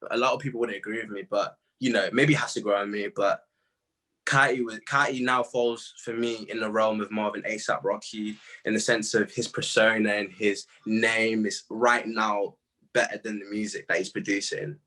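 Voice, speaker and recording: A young British man with a fairly low voice talks casually as an interview guest. His manner is laid-back and slangy, like a musician chatting with a peer. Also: fairly even intonation, moderate pace, bright, forceful tone.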